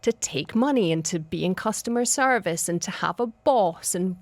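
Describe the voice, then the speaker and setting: A woman's voice: medium pitch, lively intonation, moderate pace, dry recording. A woman hosting a radio talk show in a studio, chatting easily with her guest. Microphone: studio microphone.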